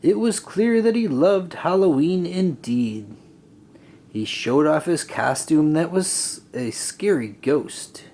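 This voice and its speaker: A man reading a rhyming children's story aloud in an expressive, deliberate, sing-song way. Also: on the high side, slight background noise. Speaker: storybook reader. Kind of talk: children's read-aloud narration.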